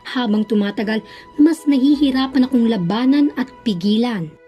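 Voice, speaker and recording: Woman acting earnest Filipino radio narrator, young, fairly high voice, confessional love-letter reading, clear music bed. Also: normal speed, muffled recording, lightly acted. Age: young adult. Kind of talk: scripted radio drama narration, mixing Tagalog and English.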